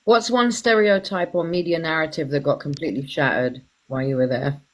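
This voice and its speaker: A woman interviewer putting casual quick-fire questions in an informal, brisk, directing way. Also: sits low.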